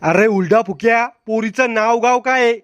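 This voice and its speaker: A man voicing a grumpy, nosy older village uncle in a cartoon, with a pitched-up voice. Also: moderate pace, a bit bright, dry recording.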